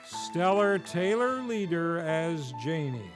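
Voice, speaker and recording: Male vintage radio host: high voice, slow showy announcing, faint music behind. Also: sing-song. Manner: showy, grandly enunciated. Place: theater stage, live show.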